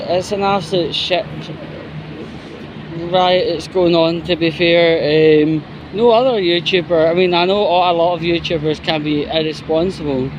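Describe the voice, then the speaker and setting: A man's voice: fairly high pitch, noisy background. A man giving an opinionated, exasperated, sweary commentary in a casual vlog style. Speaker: YouTuber.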